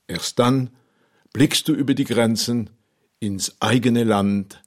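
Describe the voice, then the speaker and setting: A man's voice: medium pitch, slow pace, a little mellow, lightly acted. A man playing an eloquent, wry Alsatian theologian in a radio play, speaking in an emphatic, rhetorical way. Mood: solemn, poetic. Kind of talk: radio drama acting.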